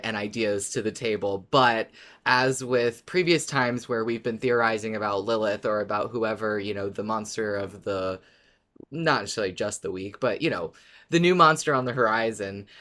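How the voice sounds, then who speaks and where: A man's voice: on the low side, fairly bright tone. A man co-hosting a podcast in casual fan discussion, playful and often repeating words for emphasis. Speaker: podcast co-host.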